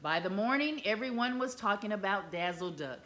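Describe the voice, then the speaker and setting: A woman reading a children's picture book aloud in an expressive, animated way. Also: medium pitch, normal speed, slight background noise. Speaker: storyteller.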